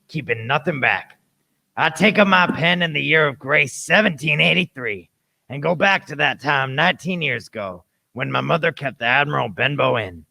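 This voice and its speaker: A man's voice: mid-range voice, creaky, sharp tone, heavily acted, low-quality recording. A man playing an earnest grown-up storyteller who recalls his boyhood in scripted, dramatic radio play narration. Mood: reflective.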